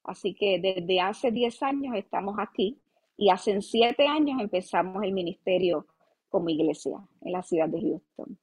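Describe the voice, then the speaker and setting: Husky Puerto Rican woman pastor. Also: mid-range voice, animated, moderate pace, a little mellow, rough recording. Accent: Puerto Rican Spanish. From Puerto Rico. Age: 30s. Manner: humble, earnest, with many "eh" fillers. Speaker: pastor, guest. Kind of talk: podcast interview.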